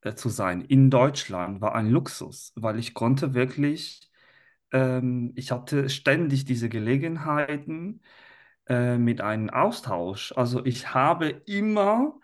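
An Italian man in a relaxed podcast conversation, with a medium-pitched voice and an Italian accent. He talks at a very slow pace and often hesitates as he searches for the right phrase. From Italy. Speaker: language teacher, interview guest.

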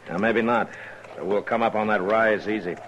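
An American actor playing a steady, determined Old West lawman in a 1950s radio western, terse and plainspoken. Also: lowish voice, normal speed, muffled recording, close to an everyday voice. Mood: wary, calm. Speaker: narrator and lead actor. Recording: noisy vintage radio recording.

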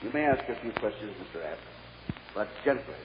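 An actor playing a fair, authoritative but kindly judge in an old radio drama, formal and admonishing, with an American accent. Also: male voice, fairly low pitch, lively intonation, fairly quick, close to an everyday voice. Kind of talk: 1940s-50s American radio drama acting. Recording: echoey, muffled, hissy old radio recording.

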